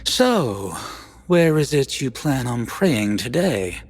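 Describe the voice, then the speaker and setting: A man's voice: mid-range voice, big pitch swings, husky, heavily acted. A man playing a dignified, shrewd and courteous senior churchman in a video game, speaking formally and without hurry. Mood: courteous, knowing. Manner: unhurried, formal, stately. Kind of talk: video game character acting. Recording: echoing hall, faint background noise.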